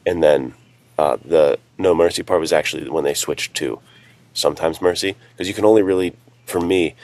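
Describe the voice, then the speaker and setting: A man's voice: extremely low pitch, normal speed, a little mellow. A man chatting casually as a podcast co-host and writer, giving practical advice in informal language.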